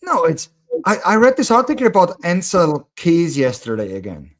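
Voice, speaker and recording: A man's voice: high-pitched, wildly swooping pitch, moderate pace. A man with a German accent, a guest talking earnestly and thinking aloud with repeated starts. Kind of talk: casual conversation.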